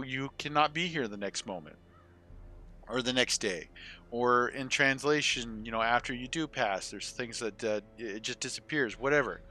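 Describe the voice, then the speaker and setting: Clear, bright-voiced man in his forties streaming. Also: medium pitch. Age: 40s. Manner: rambling, salty swearing. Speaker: game streamer. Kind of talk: casual live-stream chat with gameplay commentary.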